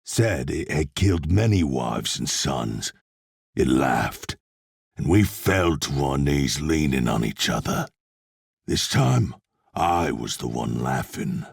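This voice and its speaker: An actor playing a gruff, hard-bitten demon hunter and vengeful soldier, terse and plain-spoken, with gravelly video game delivery. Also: male voice, a bass voice, big pitch swings, slow pace, no room sound, clean studio sound, full character voice. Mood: grim, brooding. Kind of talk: video game voice lines, scripted.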